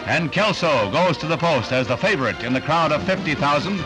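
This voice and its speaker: Animated 1960s American newsreel announcer, music underneath. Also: male voice, fairly high pitch, rough recording. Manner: brisk, punchy, dramatic announcer delivery. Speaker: newsreel narrator. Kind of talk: 1960s newsreel scripted narration. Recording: vintage newsreel soundtrack, loud music underneath. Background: loud music bed.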